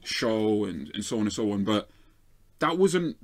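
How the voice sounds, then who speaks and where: A man's voice: fairly low pitch, big pitch swings, unhurried, a crowd in the background. A man with a London accent arguing his points emphatically as a panelist on a football debate show. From South London, England. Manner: emphatic, argues with rhetorical tags like "right?". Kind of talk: opinionated sports debate.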